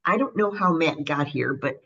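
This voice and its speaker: A woman's voice: low-pitched, lively intonation, moderate pace, creaky, a bit bright, slightly rough, highs cut off. A woman with a Midwestern accent hosting a radio interview, chatty and bubbly, with frequent fillers. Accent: Midwestern American. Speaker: radio show host.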